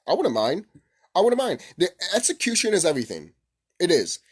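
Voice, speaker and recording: A man's voice: sits high, sing-song, a little fast, husky. A man giving a casual, unscripted opinion rant as a gaming commentator, blunt and repetitive with heavy swearing.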